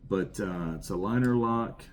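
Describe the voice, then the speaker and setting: A man's voice: low-pitched, a little slow, a little hiss or hum. A middle-aged man with a rural Southern American accent, rambling with enthusiasm as he shows off his collection. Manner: enthusiastic, rambling, repeats words. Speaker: knife collection reviewer. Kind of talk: casual show-and-tell.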